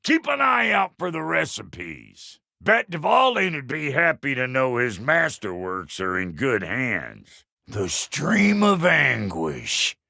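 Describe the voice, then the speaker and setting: A gravelly, cantankerous dwarf blacksmith from a video game, drawling in a Southern American accent with an unhurried pace. The pitch swings wildly, and the delivery is crude, folksy and blunt, with a good heart underneath. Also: male voice, huge pitch swings, bright, forceful tone, dry recording, studio quality, heavily acted. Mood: relishing, rowdy. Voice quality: gravelly, rough-edged. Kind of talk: video game character acting.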